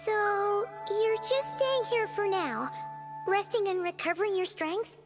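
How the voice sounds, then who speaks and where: A woman voicing a tiny, earnest, excitable fairy companion in a fantasy video game, with a squeaky childlike voice and naive, expressive delivery. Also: a little slow. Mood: curious. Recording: clear background music under the voice.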